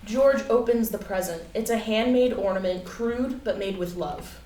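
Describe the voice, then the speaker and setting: Female stage-direction reader, mid-pitched, scripted narration, picked up from a distance in an echoey room. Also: normal speed, warm tone. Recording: echoey room, distant mic, faint noise.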